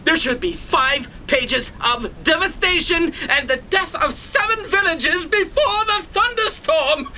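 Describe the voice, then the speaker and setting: A woman's voice: very high voice, background noise, full character voice. A woman playing an exasperated, theatrical cue-master in a comic audio drama, melodramatic and indignant.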